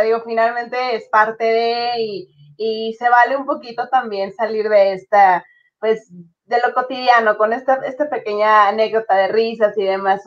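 A young woman with a Mexican Spanish accent hosts a live show from home on a video call. She speaks with enthusiasm and a slightly high voice. The audio is dull and lo-fi. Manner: enthusiastic, list-like phrasing. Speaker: radio host.

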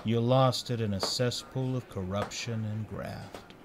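A man's voice: on the low side, fairly even intonation, measured pace, background noise. A man voicing an earnest, firm middle-aged police commissioner in a comic fan dub, restrained and serious. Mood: tense, firm.

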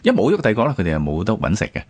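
Deep, animated Hong Kong man explaining history. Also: rapid-fire, dry recording. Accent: Hong Kong Cantonese. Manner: explanatory, with rhetorical questions and frequent sentence particles. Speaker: radio programme presenter. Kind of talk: conversational history commentary. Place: radio studio.

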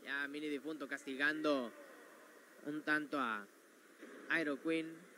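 A man calls wrestling moves in real time, his voice high and bright. He names each kick and dive as it lands. Faint arena noise runs behind him. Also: fairly even intonation, takes it slowly. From Mexico. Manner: calling moves as they happen. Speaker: wrestling commentator. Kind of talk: play-by-play commentary. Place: university gym arena.

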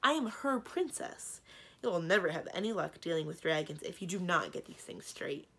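A woman voicing a strong-willed young storybook princess who speaks in a brisk, emphatic, lecturing way. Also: fairly low pitch, vocal fry, a bit bright, husky, full character voice. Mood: insistent. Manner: emphatic, lecturing, matter-of-fact. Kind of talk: storybook character dialogue.

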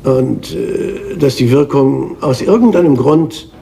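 An actor playing a formal, deliberate official who questions witnesses in a radio crime drama. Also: male voice, medium pitch, animated, creaky, mellow. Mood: formal, probing. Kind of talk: German detective radio drama acting.

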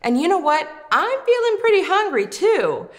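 A woman's voice: very high-pitched, sing-song, moderate pace, long reverb tail. A woman in her thirties hosting a children's show with scripted, playful, exaggerated expression. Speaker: children's puppet show host. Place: indoor wooden puppet stage set.

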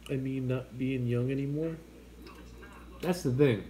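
A man's voice: medium pitch, steady tone, unhurried, dark tone, a little hiss or hum. A man in his thirties co-hosting a casual late-night livestream, rambling and swearing with plenty of restarts, talking at a dinner table at home. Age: 30s. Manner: loose, profane, rambling with restarts. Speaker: co-host of a livestream show.

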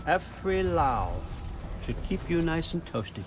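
A man's voice: a little high, big pitch swings, measured pace. Sly older god-king, folksy and theatrical. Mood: breezy, commanding. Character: sly older one-eyed male god-king, grandfatherly schemer. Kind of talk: video game character acting. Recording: loud music behind the voice.

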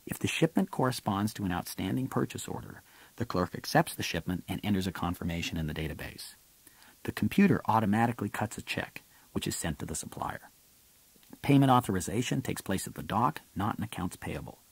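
Man narrating, lively low-pitched gravelly voice. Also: a little fast, no echo. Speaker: author reading own audiobook. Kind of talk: scripted audiobook narration.

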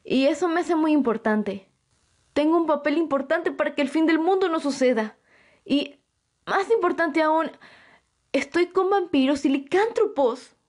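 Bubbly, high-pitched girl, acted by a woman. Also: lively intonation, measured pace, a little mellow. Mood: excited. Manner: gushing, giggly. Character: bubbly, bold, excitable young girl among vampires. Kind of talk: amateur anime-style audio roleplay acting.

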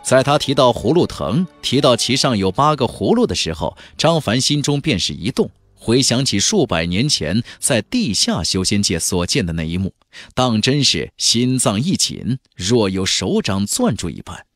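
A man's voice: medium pitch, big pitch swings, moderate pace, fairly bright tone, no room sound, studio quality, faint music behind. A man narrating a fantasy novel as a dramatic, scripted audiobook.

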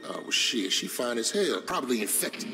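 A man's voice: mid-range voice, very animated, moderate pace, creaky, over music, rough recording. An actor playing a wary, slangy tough man who drives a hard bargain in a video game. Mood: guarded, bargaining. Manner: slangy, guarded. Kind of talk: video game cutscene acting.

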